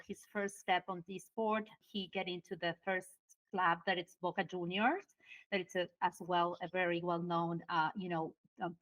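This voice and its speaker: A woman with an Argentine Spanish accent walks through a long story in English, leaning on fillers like "you know" and "uh" as she goes. Her pitch sits in an ordinary middle range, and her phrasing shows that English is her second language. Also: a bit bright, rough recording. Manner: storytelling, many fillers, non-native grammar. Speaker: guest. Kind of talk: conversational explaining. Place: at home in Argentina.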